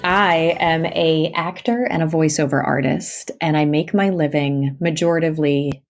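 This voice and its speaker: A woman's voice: sits low, normal speed, soft background music. A woman, an audiobook narrator, animatedly telling her story in a casual interview over the internet, laughing and acting out quotes. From Northeastern Pennsylvania. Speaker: audiobook narrator and actor, guest. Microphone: remote call over the internet.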